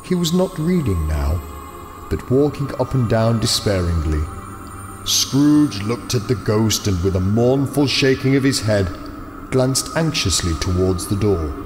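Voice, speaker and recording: A man's voice: lowish voice, very animated, unhurried. Male storyteller in long reverb. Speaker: narrator. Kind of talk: scripted story narration. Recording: long reverb, music behind.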